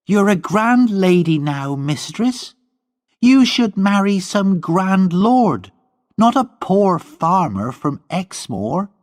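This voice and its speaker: A man's voice: high-pitched, sing-song, slow, voice pushed up, full character voice. A man voicing a blunt, defiant young maidservant.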